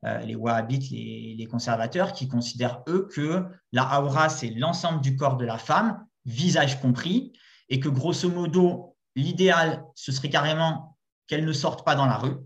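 A man argues his view in a relaxed spoken exchange, stopping often to restart his phrases. His voice sits in a medium register. The sound is a bit dull and lo-fi. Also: mid-range voice, moderate pace, vocal fry. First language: not Arabic. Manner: explanatory, many restarts and hesitations. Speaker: discussion guest. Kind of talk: casual spoken debate.

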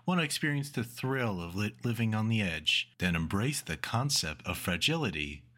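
An actor playing a zealous, emphatic announcer selling hard in a parody radio commercial. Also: male voice, on the low side, expressive, normal speed, bright, forceful tone. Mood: enthusiastic selling. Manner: emphatic, salesy.

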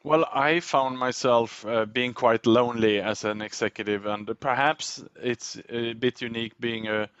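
A middle-aged man from Sweden, a native Swedish speaker talking in English as a podcast guest, reflective and measured with frequent fillers. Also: medium pitch, steady tone, a bit bright, clear, resonant tone, no room sound, lo-fi sound. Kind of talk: reflective interview talk.

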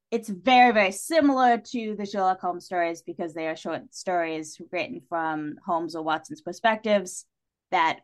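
Female podcast guest, conversational and enthusiastic, wry fan with a playful streak. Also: on the low side. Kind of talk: casual podcast chat.

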